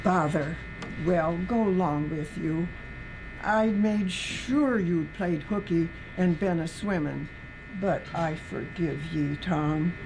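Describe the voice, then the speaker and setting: A woman's voice: on the low side, takes it slowly, noisy background. A woman voicing a shrewd, fond older aunt in folksy rural dialect, as in a storybook. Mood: grudgingly forgiving.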